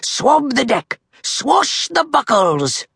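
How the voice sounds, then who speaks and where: A woman puts on a blustering storybook pirate captain with a thick stage pirate accent. The voice is rough and gravelly, leaping wildly up and down. The captain bosses and bellows with theatrical relish. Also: lowish voice, sing-song, extremely slow, a bit bright, dry recording, studio quality, pitched above the performer's own voice, heavily acted. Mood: bossy, hearty. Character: blustering bloodthirsty boy pirate captain, loud and bossy. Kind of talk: children's storybook character voice.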